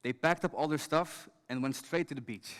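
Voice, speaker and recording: A man, an education director, tells a story on stage at a conference in a measured, scripted way. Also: on the high side, fairly bright tone. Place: large conference hall. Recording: long hall reverb.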